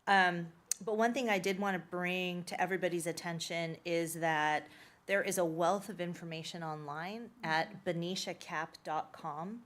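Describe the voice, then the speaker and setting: Woman, board member, lowish voice, informal report, fillers. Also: steady tone, moderate pace, a bit bright. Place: school board meeting room.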